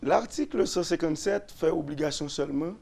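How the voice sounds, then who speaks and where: A man, a lawyer and radio guest, explaining constitutional articles slowly, repeating and restarting phrases. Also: a little high, lively intonation, creaky, darkish tone. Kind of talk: explaining constitutional law on a radio talk show.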